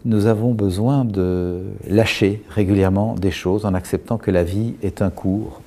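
Calm low-voiced man, mellow and measured. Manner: calm, measured, with frequent pauses and restarts. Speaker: guest speaker on emotions. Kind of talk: reflective explanatory talk.

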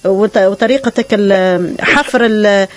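A woman with a Tunisian accent hosting a radio phone-in show, brisk and dropping short affirmations as callers talk. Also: medium pitch. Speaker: radio host.

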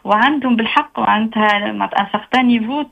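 Fast-talking Tunisian woman on a phone line. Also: a little high, gravelly. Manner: hesitant, many fillers, mixes in French. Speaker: theatre artist guest. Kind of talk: casual interview.

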